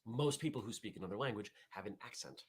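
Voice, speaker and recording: A man's voice: fairly low pitch, animated, fast talker, slightly rough. A man hosting a martial arts morning show, chatting loosely with viewers, often restarting sentences and reading their comments aloud. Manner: rambling, self-correcting, reads viewer chat aloud. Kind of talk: casual live-stream chat with viewers.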